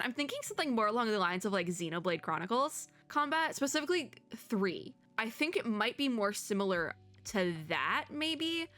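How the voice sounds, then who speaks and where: A woman game video host chatting casually and excitedly, rambling as she thinks out loud. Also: medium pitch. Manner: excited, gushing. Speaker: host of a gaming reaction video. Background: music.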